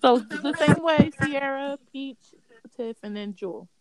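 A woman's voice: sits high, measured pace, crowd noise, rough recording. A woman in her early twenties hosting a podcast in a casual, chatty, informal way. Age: early 20s. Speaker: podcast host.

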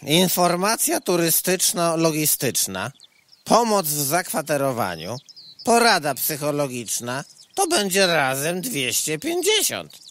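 A man playing an unhurried, money-minded insect in a radio play, matter-of-fact and wheedling. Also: fairly high pitch, wildly swooping pitch, a bit bright, dry recording, heavily acted. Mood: insistent. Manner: indirect third-person address, matter-of-fact.

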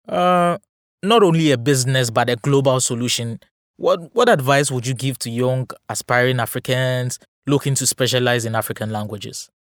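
A male podcast interviewer with a medium-pitched voice. He words his questions formally and carefully. The sound is dry, with hardly any room around the voice. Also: dry recording.

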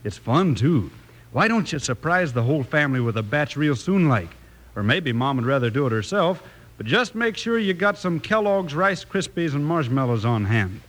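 A folksy cowboy pitchman with a highish, very animated voice. He is chummy and enthusiastic, selling in old-time radio ad style. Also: moderate pace. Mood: chummy, upbeat. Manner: folksy, enthusiastic, drawn to young listeners. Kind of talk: 1950s radio commercial.

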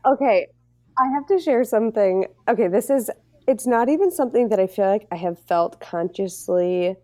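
A woman's voice: medium pitch, animated, moderate pace, darkish tone, no echo. A woman co-hosting a podcast, reacting with incredulous, emphatic casual talk. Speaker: podcast co-host. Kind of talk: casual chat.

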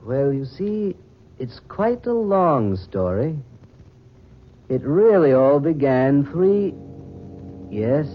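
A man's voice: fairly high pitch, sing-song, slow, long pauses, slight background noise. An actor playing a mysterious, suave and sinister man in a 1940s radio drama, speaking formally and theatrically. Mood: brooding. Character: mysterious, suave, sinister masked man telling his tale. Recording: dull old 1940s radio recording.

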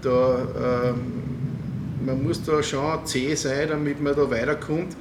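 A middle-aged man with an Austrian accent, interviewing a guest in a hesitant, measured way with many fillers. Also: mid-range voice, steady tone, roomy sound, background noise. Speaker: interviewer and music booker. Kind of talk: casual interview chat.

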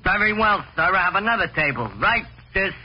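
An actor playing a sarcastic, impatient restaurant waiter in a 1940s radio comedy. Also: male voice, high voice, animated, fairly quick. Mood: strained patience. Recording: muffled, noisy 1940s radio broadcast.

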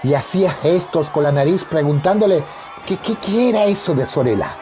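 A man's voice: a little high, animated, moderate pace, dark tone, some chatter behind, slight background noise. A man giving dramatic scripted narration for a radio soap. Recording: faint music under narration.

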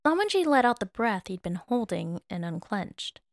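A woman reading scripted fiction as narrator, in a measured, expressive manner. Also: mid-range voice, no room sound, studio quality. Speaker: narrator, fan fiction reader.

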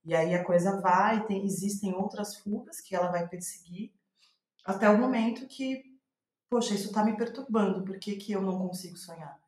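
A woman's voice: mid-range voice, normal speed, darkish tone. Brazilian woman in an echoey room. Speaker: playwright, guest. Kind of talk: reflective talk about own writing. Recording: roomy, echoing sound.

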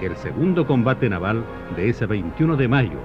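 A man playing a solemn storyteller, narrating patriotic history and memories in a measured, literary radio drama style. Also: medium pitch, big pitch swings, close to an everyday voice. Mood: solemn, reflective. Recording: muffled radio broadcast, faint music behind.

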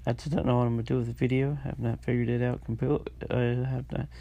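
A man with a stuffy, congested nose, hosting a casual solo diary podcast and talking in a halting way with many fillers and restarts. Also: medium pitch, flat delivery, vocal fry, dark tone, no room sound. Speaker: podcast host.

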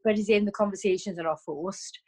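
A woman's voice: mid-range voice, brisk, background noise. A woman talking casually as a guest over a video call, chatty, with frequent ums and restarts.

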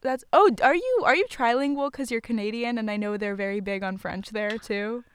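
A woman's voice: on the high side, smooth. Upbeat woman interviewer with a raised voice. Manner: upbeat, laughs often, quick reactions. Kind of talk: casual interview.

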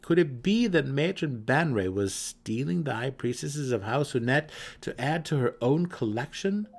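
A man narrating a fantasy story as a dramatic, scripted audiobook reading. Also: mid-range voice.

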